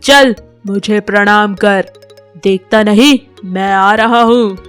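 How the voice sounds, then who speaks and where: A pompous male elephant, voiced by a woman, speaking slowly and weightily at a medium pitch for a woman. He is boastful and bossy, in a children's story with soft music behind. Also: clean tone. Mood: boastful, indignant. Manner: pompous, demanding. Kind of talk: animated children's animal fable.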